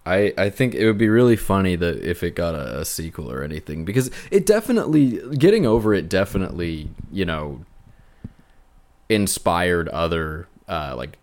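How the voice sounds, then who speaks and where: A young man co-hosting a podcast, talking casually in a bassy voice and often restarting his sentences. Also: sing-song, darkish tone. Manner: halting, with many restarts and fillers. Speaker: podcast co-host. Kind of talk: casual chat.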